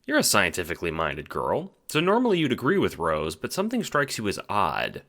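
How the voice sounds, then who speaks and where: A man's voice: lowish voice, wildly swooping pitch, fairly quick, a bit bright. A man narrating an audio drama as scripted, dramatic second-person storytelling.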